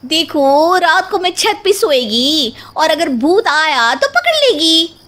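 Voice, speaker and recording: Woman doing a brash, high-voiced Mumbai housemaid. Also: sing-song, slow pace, fairly bright tone, full character voice. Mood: cocky, boastful. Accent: Mumbai street Hindi. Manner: slangy, cocky, boastful. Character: brash, bold, money-minded female housemaid, street-smart ghost chaser.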